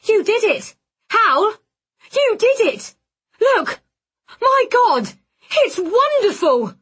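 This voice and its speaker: A woman voicing an excitable, exclaiming, jubilant male friend in an audiobook. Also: very high voice, takes it slowly, pitched above the performer's own voice, full character voice. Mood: jubilant amazement. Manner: exclaiming, breathlessly enthusiastic. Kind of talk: audiobook character voice.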